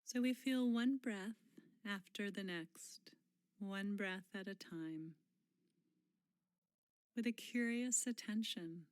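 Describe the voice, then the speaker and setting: A woman leading a guided mindfulness meditation in a gentle, unhurried manner, pausing often and letting phrase endings fall soft and breathy. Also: medium pitch, no echo, clean studio sound.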